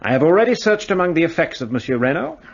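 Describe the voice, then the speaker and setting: A pompous arrogant detective. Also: male voice, on the high side, very animated, fast talker, vocal fry, slightly rough, exaggerated voice. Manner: pompous, lecturing. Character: arrogant self-important male police detective. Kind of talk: radio play acting. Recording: dull muffled studio audio.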